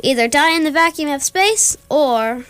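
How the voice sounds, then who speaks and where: A young woman playing a menacing male alien captain in amateur radio theatre, pompous, deliberate and theatrical. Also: high-pitched, lively intonation, a bit bright.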